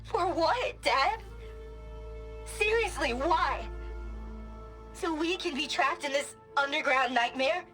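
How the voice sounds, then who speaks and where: A woman's voice: sits high, animated, slow pace, pauses often, a bit bright, soft background music, exaggerated voice. A woman playing a despairing daughter in a video game, accusing and pleading with her father. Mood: hurt, accusing.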